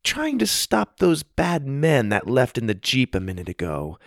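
A man voicing a brisk, practical young girl in a raised voice. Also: mid-range voice, wildly swooping pitch, vocal fry, dry recording, clean studio sound, voice pushed up, exaggerated voice. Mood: bossy.

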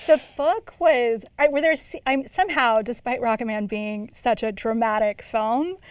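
Female interviewer, fairly high voice, casual, informal, thinking-aloud questions, dry recording. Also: normal speed, clean tone, no echo.

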